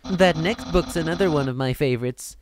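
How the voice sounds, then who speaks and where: An actor playing a naive, vain, excitable male cartoon skeleton in a video game dub, theatrical and earnest. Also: mid-range voice, fairly quick, noisy background, close to an everyday voice. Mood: proud, earnest. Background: game music.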